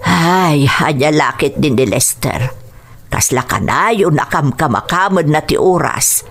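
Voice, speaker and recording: A woman's voice: low-pitched, animated, unhurried, exaggerated voice. A woman playing a concerned older mother-in-law in an Ilocano radio drama, fussing expressively and with sympathy.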